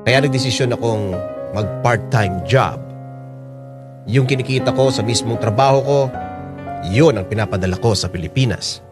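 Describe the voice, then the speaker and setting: An actor narrating as an overseas worker, reflecting in a measured first-person voice in a radio drama. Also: male voice, mid-range voice, music in the background, close to an everyday voice. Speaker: narrator telling his own story.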